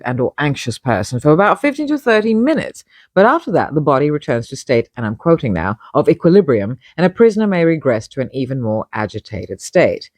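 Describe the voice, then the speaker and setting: A woman reads a feature article in an animated, swooping voice. She often steps out of the text for wry, opinionated asides, cutting into her own sentences. The sound is dry, with little room echo. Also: very deep voice. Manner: digressive, wry, self-interrupting. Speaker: magazine publisher reading own feature article.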